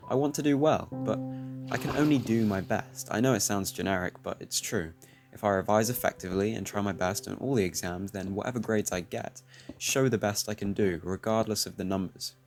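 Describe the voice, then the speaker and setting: A teenage man speaking calmly and reflectively, commenting over his gameplay. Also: lowish voice, brisk. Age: teens. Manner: soft-spoken, reflective. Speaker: YouTuber. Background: faint music.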